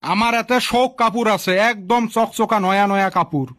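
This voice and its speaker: A man's voice: sits high, normal speed, fairly bright tone. A man voicing an eager, pushy market cloth seller in a comic cartoon, coaxing customers with sales patter. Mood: persuasive. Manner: coaxing, hawking.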